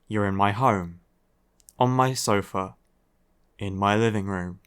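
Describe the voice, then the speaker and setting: A man's voice: lowish voice, slow pace, a bit bright, smooth. A man playing a grumpy, weary young vampire in an audio roleplay, curt and sardonic with heavy sighs. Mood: curt, dry. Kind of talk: roleplay audio acting, ASMR.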